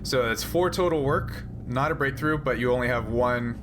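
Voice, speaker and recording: Guy game mastering a sci-fi rpg, casual and kinda halting explainer, mid pitch, noisy audio.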